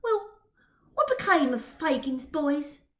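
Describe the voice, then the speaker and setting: Woman playing a prickly, high-voiced young girl. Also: very animated, slow, a bit bright, echoey room, heavily acted. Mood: grudging, curious. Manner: sceptical, haughty retorts. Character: proud, prickly homeless young girl, haughty and suspicious.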